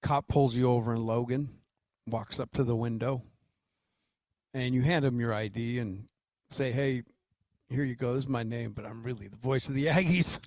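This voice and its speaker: An American man co-hosting sports radio, telling joking anecdotes in a casual, unhurried way. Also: medium pitch, darkish tone, dry recording, clean studio sound. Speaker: sports radio co-host and coach.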